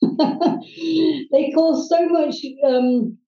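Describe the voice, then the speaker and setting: A middle-aged woman from Hong Kong, telling her life story as a guest, earnestly and haltingly, with many ums and restarts. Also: high voice, steady tone. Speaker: guest, private English teacher. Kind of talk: personal storytelling in interview. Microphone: video call. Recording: distant, roomy, dull video-call audio.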